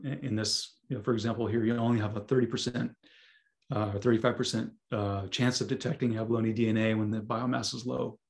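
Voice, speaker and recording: A hoarse man who is losing his voice, giving a webinar talk over a video call with many ums and restarts. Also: lowish voice, little pitch movement, fast talker. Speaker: guest speaker, scientist. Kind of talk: webinar presentation.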